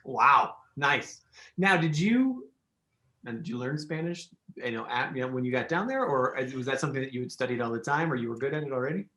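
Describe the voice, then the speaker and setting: A man's voice: mid-range voice, big pitch swings, fairly quick, pushed and bright, echoey room. Enthusiastic, rambling male podcast host. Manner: enthusiastic, rambling, many fillers and restarts. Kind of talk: casual interview chat.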